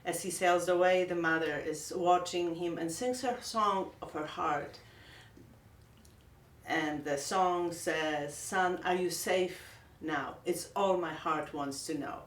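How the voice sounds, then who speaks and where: A middle-aged woman with a Polish accent, speaking in a gentle, earnest and reflective way in a heartfelt personal vlog. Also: lowish voice, takes it slowly, reverberant, recorded from a distance. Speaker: vlogger.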